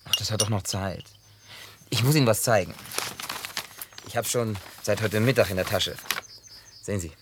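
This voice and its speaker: A man's voice: lowish voice, moderate pace, pauses often, noisy background, close to an everyday voice. An actor in a German radio play, voicing a blunt, boastful, evasive man who talks colloquially. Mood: eager, friendly.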